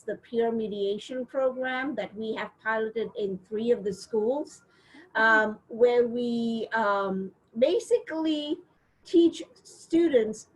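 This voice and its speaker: A middle-aged woman from India, a professor, talking on a video call about her community work in a measured, steady way. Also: fairly high pitch, low-quality recording. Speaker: interview guest, professor. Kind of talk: casual video-call interview.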